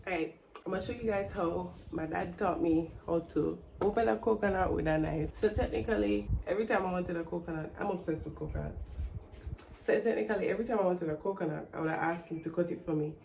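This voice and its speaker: A woman's voice: lowish voice, quick pace, gravelly, darkish tone, a little hiss or hum. A woman in her thirties vlogging casually, sounding stuffy from the flu and laughing at herself as she chats. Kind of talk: casual travel vlog.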